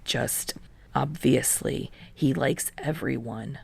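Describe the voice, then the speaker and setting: Gruff, awkward teen jock, voiced by a woman narrator: very low for her, hushed mutter, halting and blunt, audiobook. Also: no room sound, heavily acted. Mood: awkward, earnest. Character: gruff, awkward, blunt teenage boy athlete.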